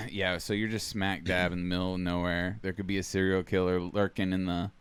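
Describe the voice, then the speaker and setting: A young man hosting a casual podcast, loud and excitable, laughing often. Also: low-pitched, moderate pace, clear, resonant tone, dry recording. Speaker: podcast host. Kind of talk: casual chat.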